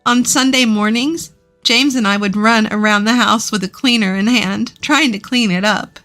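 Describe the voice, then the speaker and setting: A woman's voice: fairly high pitch, moderate pace, a bit bright, soft background music. A woman reading a scripted first-person story as its narrator.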